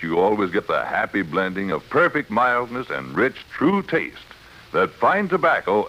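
A man delivering a 1950s radio advertising pitch with an emphatic, polished sales delivery. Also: a bit bright. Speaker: commercial announcer. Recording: dull vintage radio broadcast.

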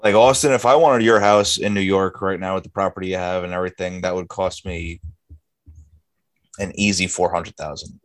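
A man's voice: deep voice, steady tone, moderate pace. A young man co-hosting a casual podcast chat, talking bluntly and grumbling, with frequent swearing. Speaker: podcast co-host.

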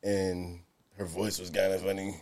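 A man's voice: low-pitched, flat delivery, moderate pace, a little mellow. A man hosting a podcast, telling stories in a laid-back, slangy way. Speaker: podcast host. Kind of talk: casual chat.

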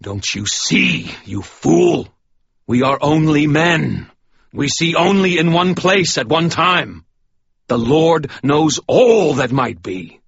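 A man voicing a stern middle-aged holy warrior who preaches loudly and righteously. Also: a little high, lively intonation, slow pace, vocal fry, husky, no echo, lo-fi sound, voice pushed up, heavily acted. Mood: righteous fury.

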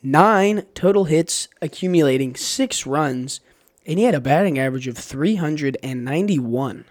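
A man co-hosting a sports podcast, hoarse and raspy from a sore throat, chatting in a laid-back way. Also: fairly high pitch, animated, moderate pace. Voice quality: raspy, losing his voice. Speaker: podcast co-host. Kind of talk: casual sports podcast chat.

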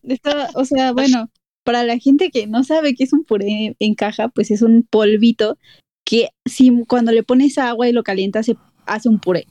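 A woman's voice: on the high side, normal speed, mellow, no room sound. A young woman with a Mexico City accent, chatting casually as podcast co-host and reviewing a film, laughing often and stretching out her fillers. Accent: Mexico City Spanish.

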